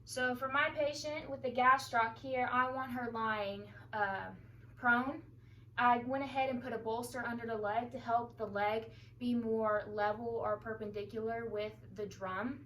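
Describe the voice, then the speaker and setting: A young woman giving an informal instructional demonstration in a hesitant, halting way. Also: on the high side, fairly even intonation, pushed and bright. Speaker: student demonstrating a therapy technique. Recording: distant mic, clear background noise.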